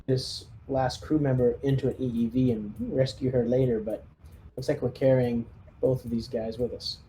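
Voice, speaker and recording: A man with a soft-edged, mellow tone talks casually as a player in a tabletop game. His pitch is average, and there is slight background noise. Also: fairly even intonation, normal speed, low-quality recording.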